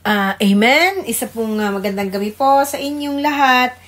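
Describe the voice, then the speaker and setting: A woman's voice: a little high, animated, moderate pace, fairly bright tone. A woman with a Filipino accent co-hosting a devotional radio program in a reverent, conversational way. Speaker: radio devotional co-host, pastor's wife. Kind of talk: informal religious radio greetings and prayer.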